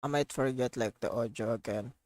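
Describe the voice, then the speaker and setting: A man chats casually as he streams a game, with music playing behind him. His talk rambles and circles back on itself, full of slang, in a mid-pitched everyday voice. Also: a little fast, darkish tone. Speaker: livestreamer. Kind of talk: casual livestream chat over gameplay. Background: game music and audio.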